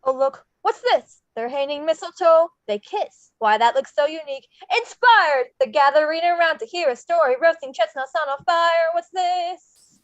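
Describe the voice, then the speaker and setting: A woman acts out an excitable skeleton man full of wonder, pitched extremely high. The delivery is theatrical and rhythmic, like verse from a musical table read. The sound is dry and somewhat dull. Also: moderate pace, no echo. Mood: delighted, curious. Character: Jack, excited wonderstruck male skeleton from a Halloween land.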